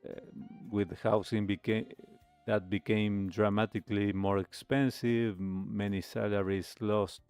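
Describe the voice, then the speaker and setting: A man hosting a talk show, giving reflective commentary in halting, deliberate phrases. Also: on the low side, little pitch movement, a little mellow, smooth, no room sound, faint music behind.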